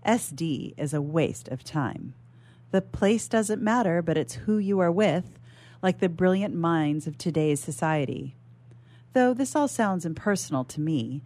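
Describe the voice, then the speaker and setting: Low-pitched, very animated woman reading a story. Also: unhurried. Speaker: writer reading own short story. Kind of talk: expressive first-person story reading.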